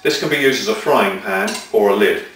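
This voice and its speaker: A middle-aged man with a fairly low voice explains camping stoves step by step as he handles them. His voice rings around a bare, echoey room, caught by a mic set well back from him. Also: a little fast, a bit bright, recorded from a distance, a little hiss or hum. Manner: methodical, explains step by step while handling gear. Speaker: outdoor gear presenter. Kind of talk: hands-on equipment demonstration. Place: small indoor room with table of gear.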